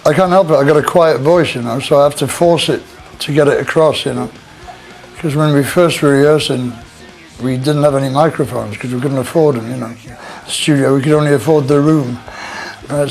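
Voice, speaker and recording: A man's voice: a little high, a little mellow, poor audio. A man in a band, chatting casually and joking, rambling with lots of "you know". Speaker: band member. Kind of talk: casual interview chat. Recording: music playing underneath.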